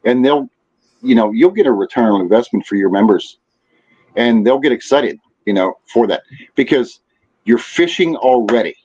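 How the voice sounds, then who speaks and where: A man's voice: mid-range voice, darkish tone. A man chatting casually as a podcast guest, giving rambling advice peppered with "you know". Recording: dull, lo-fi audio.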